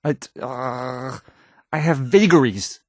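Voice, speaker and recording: A man's voice: medium pitch, a little mellow, no echo, clean studio sound. A man playing a timid, awkward young radio DJ in a video game, hesitant and apologetic as he stammers through the news in a shaky voice. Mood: flustered. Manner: stammering, halting, apologetic, trailing off. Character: timid, awkward young male radio DJ, anxious and unsure of himself.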